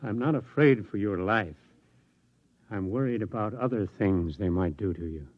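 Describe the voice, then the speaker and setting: An actor playing a wry, philosophical male confidant, measured and probing, in a 1950s radio drama. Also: lowish voice, no echo, phone-quality sound, lightly acted. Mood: worried.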